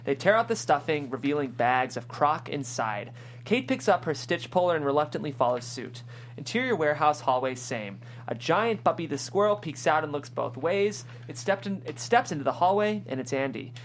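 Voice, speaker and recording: Very low-voiced woman narrating a screenplay. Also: animated, normal speed, a little hiss or hum. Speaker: narrator reading stage directions. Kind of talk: scripted screenplay narration at a table read.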